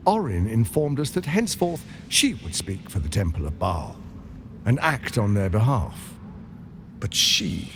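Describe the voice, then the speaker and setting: An actor playing a suave, scheming tyrant lord in a video game, speaking in a silky, pompous, measured and persuasive way. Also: male voice, medium pitch, slight background noise. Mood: aggrieved. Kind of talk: theatrical video game cutscene acting.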